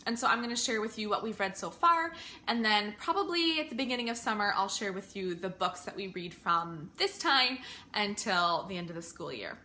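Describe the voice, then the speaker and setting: A woman's voice: on the high side, sharp tone. A woman in her thirties talking informally in a casual, chatty review vlog, working through her opinions conversationally with some hesitation. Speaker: homeschooling parent vlogger.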